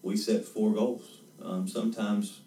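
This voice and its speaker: A man in his twenties, a head baseball coach, answering interview questions in a steady, earnest way, repeating words as he thinks. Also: fairly low pitch, a little slow, echoey room, distant mic. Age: 20s.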